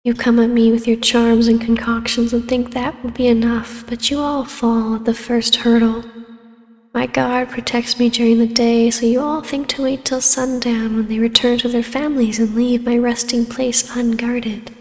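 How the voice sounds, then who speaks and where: A woman voicing a taunting, imperious vampire baroness in a scripted ASMR roleplay, speaking in a measured, hushed voice that slips into whispers. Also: on the high side, little pitch movement, dry recording. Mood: taunting, predatory. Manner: slow, hushed, breathy delivery slipping into whispers. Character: vampire baroness, ancient huntress turned ruler, taunting, imperious, regal.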